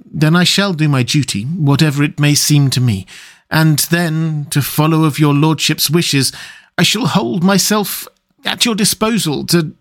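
A man's voice: fairly high pitch, fairly bright tone, pitched above the performer's own voice. A man voicing a grave, stern but compassionate old professor in an audiobook, speaking in solemn, earnest, formal phrases. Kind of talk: dramatic audiobook character reading.

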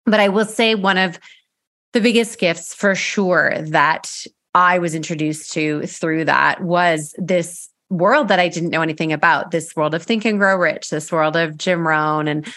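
A woman's voice: on the low side, measured pace, a bit bright. A middle-aged woman hosting a podcast, talking in a conversational, affirming way. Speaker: podcast host. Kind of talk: casual interview talk.

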